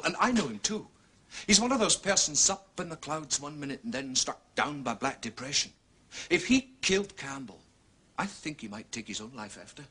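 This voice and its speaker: An actor playing a blunt, dogged police inspector with a Scottish accent, plain-spoken and emphatic, in a period detective drama. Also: male voice, mid-range voice, pushed and bright, breathy edge, full character voice.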